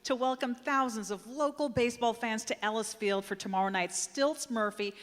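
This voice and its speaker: A woman's voice: fairly high pitch, moderate pace, close to an everyday voice. An actress playing a polite, persuasive woman petitioner in a live comic radio play. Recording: live stage sound in echoey hall.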